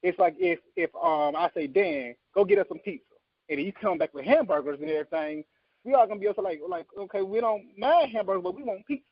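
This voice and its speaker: A man with a creaky voice giving emphatic opinions in a casual panel chat. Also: sits high, sing-song, fast talker, no room sound. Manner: emphatic, insistent, argues with examples. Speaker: podcast panelist. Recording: slightly dull, lo-fi audio.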